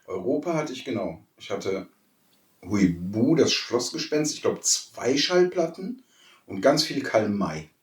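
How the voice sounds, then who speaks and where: A man's voice: mid-range voice, sing-song, a little slow, far from the mic. A middle-aged man reminiscing casually with friends as a podcast host. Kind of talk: casual nostalgic chat among friends. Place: echoey room, away from the mic. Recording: echoey, off-mic room sound.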